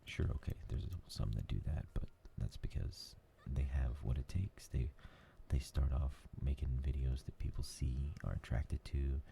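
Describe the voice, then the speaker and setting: A man hosting a new ASMR gaming channel, talking in a soft whisper and rambling with lots of fillers. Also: extremely low pitch, fairly even intonation, normal speed, creaky, few pauses, slight background noise.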